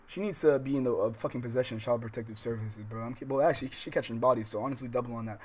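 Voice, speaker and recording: A man's voice: mid-range voice, fairly even intonation, very fast. An American man hosting a casual let's-play livestream, reading story text aloud with stumbles and reacting in slangy, profane talk. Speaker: livestream host.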